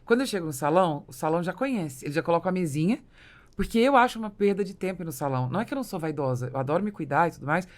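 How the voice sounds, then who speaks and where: A Brazilian woman hosts a relaxed business podcast. She talks quickly in a slightly low voice and makes her points emphatically, illustrating them with examples of her own. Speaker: podcast host. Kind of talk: casual business podcast conversation.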